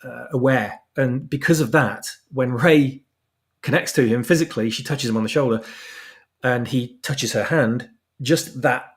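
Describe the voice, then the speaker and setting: A man in a casual discussion, explaining things at length as a guest author, often repeating words as he goes. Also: mid-range voice. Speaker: fan fiction author and podcast guest. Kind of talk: casual discussion of story lore.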